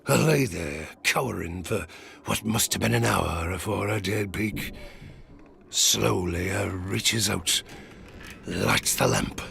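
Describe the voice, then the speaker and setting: An actor playing a grizzled old sailor, gruffly telling children a dark bedtime tale in an audio drama. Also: male voice, lowish voice, full character voice. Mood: hushed, suspenseful. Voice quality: gravelly. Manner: theatrical, gruff, suspenseful storytelling with dramatic pauses.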